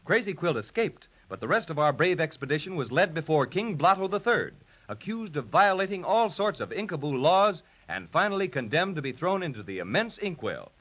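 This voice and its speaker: A man narrating a scripted 1930s children's radio serial in a dramatic, expressive style. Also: a little high, brisk, few pauses. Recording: dull, lo-fi 1930s radio transcription.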